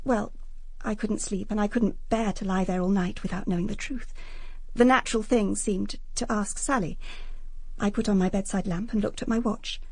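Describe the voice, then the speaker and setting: A woman's voice: medium pitch, fairly even intonation, moderate pace, vocal fry, dry recording. An actress with a British accent playing a wounded, self-justifying young woman confessing in a radio drama. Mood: hurt, confessional. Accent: British English. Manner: earnest, confessional.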